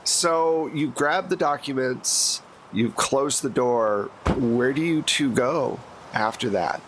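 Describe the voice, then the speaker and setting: A man narrating as game master in a tabletop role-playing session, explaining scenes in a relaxed, laid-back way. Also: medium pitch, slow, a little hiss or hum, poor audio.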